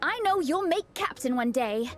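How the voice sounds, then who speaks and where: A bubbly young hero mentor. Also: female voice, sits high, animated, moderate pace, a bit bright, soft background music. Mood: encouraging. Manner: bubbly, encouraging, laughs often. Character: upbeat young woman, eager hero-training mentor. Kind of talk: video game voice acting.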